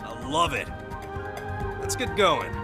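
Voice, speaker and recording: Brash, animated American soldier guy. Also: on the high side, slow pace, over loud music, heavily acted. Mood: eager. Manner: brash, slangy, grumbling. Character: cocky, gruff young male soldier, slangy thrill-seeker. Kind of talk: video game cutscene acting.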